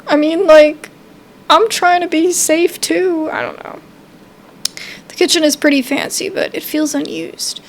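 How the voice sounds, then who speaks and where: Female gamer: casual play commentary and narration, high register, faint background noise. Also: steady tone, measured pace. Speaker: gamer playing and reading a game aloud.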